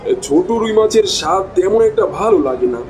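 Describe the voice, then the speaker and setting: A man's voice: high voice, animated, quick pace, darkish tone, slight background noise. A man voicing an easily exasperated village husband in a cartoon, with an exclamatory, scolding way of talking. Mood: eager, bossy. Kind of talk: Bengali cartoon dialogue.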